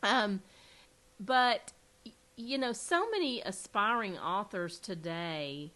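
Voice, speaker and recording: A woman hosting an interview, hoarse from a cold. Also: medium pitch, a bit bright, rough recording. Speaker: interview host. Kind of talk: casual interview chat.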